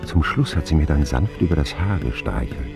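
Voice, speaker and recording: An actor playing a man who recounts his boyhood wryly and candidly in a radio drama, with dramatic phrasing and deliberate pauses. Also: a bass voice, animated, creaky, over music, heavily acted. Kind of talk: scripted first-person radio drama narration.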